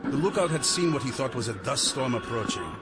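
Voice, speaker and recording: An actor playing a grave Saudi security official at an oil complex, formal, measured and courteous. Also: male voice, mid-range voice, animated, heavy background noise, natural voice. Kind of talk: audio drama acting. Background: music.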